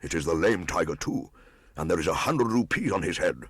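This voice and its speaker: A man's voice: sits low, animated, a little fast, fairly bright tone, breathy edge, dry recording, studio quality, full character voice. A man playing an old village hunter, blustering yet cowardly, with pompous archaic speech, in a storybook reading. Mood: blustering, greedy.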